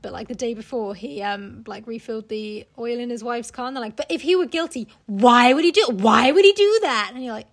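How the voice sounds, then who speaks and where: Female co-host, fairly high voice, wry casual banter with mock scolding and some swearing. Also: brisk, hardly any pauses. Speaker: podcast co-host. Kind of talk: casual banter, reacting to a story.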